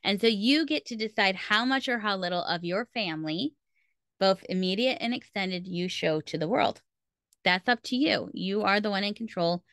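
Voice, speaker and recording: Female podcast host, mid-pitched, explaining points emphatically in an informal teaching style, recorded dry. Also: lively intonation, moderate pace, fairly bright tone, dry recording. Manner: emphatic, repeats key points for stress. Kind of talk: instructional solo podcast talk.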